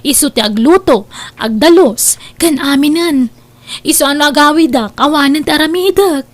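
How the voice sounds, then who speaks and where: A woman plays a lazy, bossy young Ilocano daughter in a radio-style drama. Her voice is very high, with a whiny, demanding, scolding delivery. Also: normal speed, close to an everyday voice. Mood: bossy, whining. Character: spoiled, lazy, bossy twenty-five-year-old daughter.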